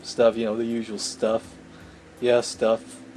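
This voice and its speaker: Mellow-voiced man narrating a game playthrough. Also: mid-range voice, completely flat delivery, takes it slowly, slight background noise. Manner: irreverent, sweary. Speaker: let's play host. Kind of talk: reading visual novel aloud with casual commentary.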